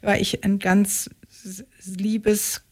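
A woman's voice: medium pitch, little pitch movement, extremely slow, a little mellow, slightly rough. A middle-aged woman from Israel, a radio interview guest, recounting her life in a reflective way with frequent hesitations.

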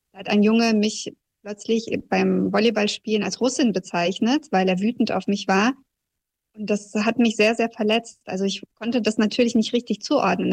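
A woman in her thirties, a journalist from Kazakhstan who grew up in southwest Germany, giving a reflective, measured personal account in an interview. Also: medium pitch, a little mellow. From Kazakhstan, raised in southwest Germany.

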